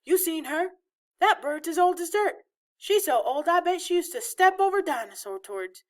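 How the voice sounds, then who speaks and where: A woman's voice: expressive, moderate pace. A woman voicing a cocky, sullen teenage boy with a cool, slangy way of talking, in a storybook reading. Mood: scornful. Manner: cool, low, drawling from side of mouth.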